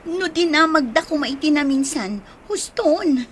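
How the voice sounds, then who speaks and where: A woman plays a young woman under suspicion in a radio drama, in a high voice with an Ilocano accent. She is nervous, hesitant and quick to defend herself. Faint noise sits behind her. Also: animated. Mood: defensive.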